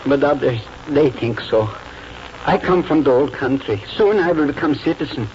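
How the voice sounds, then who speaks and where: A man's voice: on the high side, expressive, lightly acted. Man playing an earnest foreign-accented immigrant farmer, muffled radio. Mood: anxious pleading. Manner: halting, earnest speech in broken English. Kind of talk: 1940s radio acting. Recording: muffled old radio broadcast, faint hiss.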